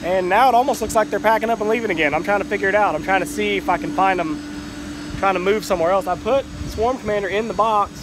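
A man's voice: high-pitched, fast. A middle-aged beekeeper, chatting casually to viewers while he works outdoors at an airport, recorded on a phone camera set nearby. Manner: chatty, talks to viewers as "y'all". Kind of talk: casual vlog narration while working. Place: outdoors at an airport, near a truck. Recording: outdoor airport noise behind.

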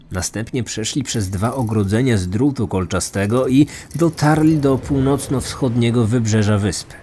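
A man's voice: medium pitch, a little mellow, slight background noise. A man narrating a scripted true crime podcast as its host.

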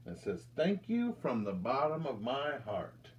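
An American man in his thirties reads a picture book aloud to children with deliberate, expressive storytelling delivery. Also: mid-range voice, a little hiss or hum. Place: indoors, small room with curtain backdrop.

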